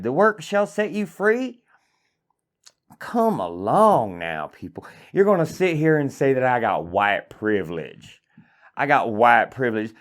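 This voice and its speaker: A man playing an angry hillbilly, delivering an indignant, profane, rambling comedic rant. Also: sits high. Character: angry rural hillbilly man ranting about politics. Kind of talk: satirical comedic monologue.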